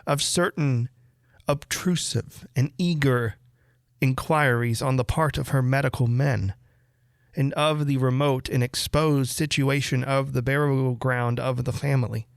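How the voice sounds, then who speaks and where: A man reading a classic horror story aloud as literary narration, with measured, deliberate phrasing and dramatic pauses. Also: mid-range voice, steady tone, creaky, clean tone, dry recording, clean studio sound. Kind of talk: literary audiobook-style narration.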